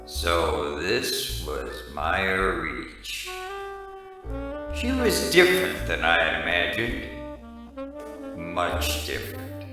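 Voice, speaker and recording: A man playing a boozy, wisecracking hardboiled private detective in a parody noir radio drama, delivered deadpan. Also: low-pitched, drawn-out words, long pauses, exaggerated voice. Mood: wry, musing. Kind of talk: parody noir radio drama, first-person narration and dialogue. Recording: very echoey, distant, music underneath.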